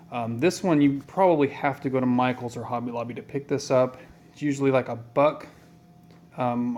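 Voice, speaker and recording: Low-key man explaining a craft how-to. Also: a little hiss or hum. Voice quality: flat, even voice. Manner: plain, low-key and meandering, with many ums. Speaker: hobby tutorial maker. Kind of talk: casual how-to tutorial.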